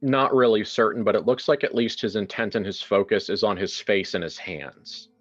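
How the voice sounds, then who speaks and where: A man's voice: lowish voice, normal speed, dull audio. A man improvising narration for a tabletop roleplaying game in a casual way, thinking aloud as he goes. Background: music.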